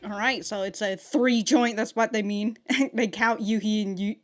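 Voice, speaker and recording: A woman giving casual, slangy reaction commentary as the host of a video, in an animated, informal way. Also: mid-range voice, moderate pace, faint music behind.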